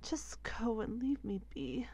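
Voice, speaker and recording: Woman playing a slow-spoken, earnest young princess. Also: on the high side, sing-song, a little hiss or hum, heavily acted. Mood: flustered. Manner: soft, hesitant, breathy asides. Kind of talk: ASMR roleplay acting.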